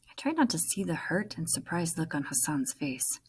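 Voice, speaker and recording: A woman teacher reading a children's novel aloud as narration, dramatic and often dropping to a hush as phrases end. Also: sits low, big pitch swings, normal speed, husky.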